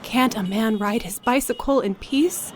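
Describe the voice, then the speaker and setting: A woman voicing a gentle, nostalgic old Japanese grandfather, a storybook street storyteller who murmurs hesitantly to himself. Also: fairly high pitch, measured pace, a little hiss or hum, lightly acted. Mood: bewildered, grumbling. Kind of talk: storybook character voice for children.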